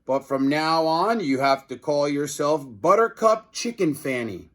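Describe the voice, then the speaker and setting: A man's voice: on the high side, animated, measured pace. A man voicing a plucky, scheming schoolboy prankster with an eager, urgent manner. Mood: excited.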